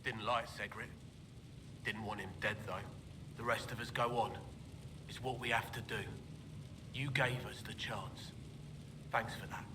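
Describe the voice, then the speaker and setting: An actor playing a weary, dependable craftsman in a video game, talking in gruff, clipped, plain phrases. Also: fairly even intonation, unhurried, pauses often, noisy background, natural voice. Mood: matter-of-fact. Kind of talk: video game character dialogue. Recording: distant voice over rumbling ambience.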